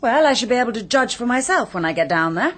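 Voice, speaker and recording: A woman's voice: on the high side, very animated, quick pace, a bit bright, slight background noise. An English woman in a British radio drama playing a strong-willed young married woman, forthright and inquisitive. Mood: brisk, inquisitive. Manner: forthright, bossy, questioning.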